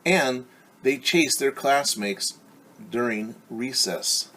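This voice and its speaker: A man's voice: mid-range voice, slow, bright, forceful tone, slight background noise. A man voicing a stern, unbending school principal in a storybook, firm and scolding in manner. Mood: firm refusal.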